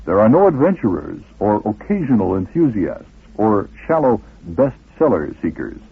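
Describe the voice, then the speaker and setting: A man's voice: fairly low pitch, big pitch swings, normal speed, breathy edge. A man reading scripted narration as the host of a radio documentary. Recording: muffled lo-fi old radio recording.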